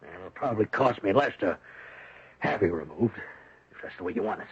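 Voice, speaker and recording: An actor playing a ruthless, rich middle-aged father in a 1970s radio drama, husky, condescending and coolly threatening. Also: deep voice, animated, a little fast, heavily acted. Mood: coldly menacing. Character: ruthless rich patriarch, menacing and domineering father. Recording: dull old radio broadcast.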